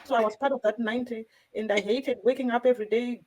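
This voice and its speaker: A woman talks quickly in a fairly high voice as co-host of a panel. She shares personal experience with earnest emphasis. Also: steady tone, a little mellow, rough recording. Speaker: co-host and business owner. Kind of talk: personal account on a discussion panel.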